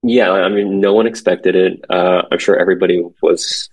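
Podcast guest: young man, very low husky voice, relaxed and halting, lots of ums. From born in Korea, raised in San Francisco Bay Area. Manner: hesitant, many fillers, relaxed. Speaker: guest, commercial real estate associate. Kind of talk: casual podcast conversation.

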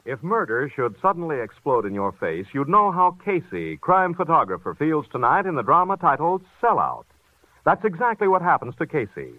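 A man's voice: a little high, wildly swooping pitch, normal speed, slight background noise. A man with an American accent announcing radio thrillers in a dramatic, punchy 1940s promotional style. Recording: tinny vintage radio broadcast recording.

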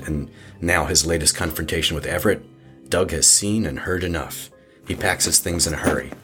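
A man narrating a scripted horror story in an audio drama. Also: rumbling low.

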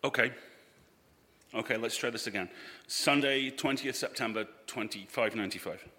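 A man's voice: mid-range voice, moderate pace, sharp tone, lightly acted. A man playing a methodical, persistent character working through computer logs in a live science fiction audio drama, with clipped delivery. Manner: clipped, reciting dates one by one. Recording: echoey hall, live stage.

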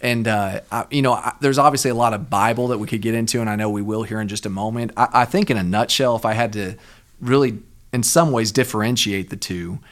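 A man's voice: on the low side, fast talker. A man co-hosting a podcast, explaining his views earnestly and thoughtfully, with small restarts. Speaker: podcast co-host. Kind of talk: casual chat and spoken intro.

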